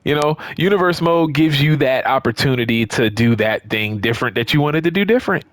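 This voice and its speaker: A man's voice: mid-range voice, lively intonation, a little fast, dry recording. Casual, rambling man chatting to viewers. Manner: conversational, rambling, repeats phrases for emphasis. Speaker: YouTube host of a wrestling game and action figure channel. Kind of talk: casual unscripted chat to viewers.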